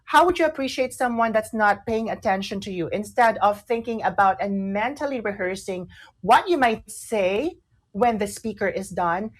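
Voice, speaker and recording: A woman's voice: medium pitch, moderate pace. A woman with a Filipino accent co-hosting a chatty radio talk show, repeating phrases and slipping Tagalog into her English. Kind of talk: chatty talk show discussion with some read-out tips.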